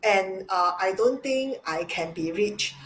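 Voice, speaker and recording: A woman from Singapore co-hosting a casual live stream, upbeat and emphatic with halting restarts. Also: mid-range voice, animated, a bit bright, a little hiss or hum, poor audio. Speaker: co-host.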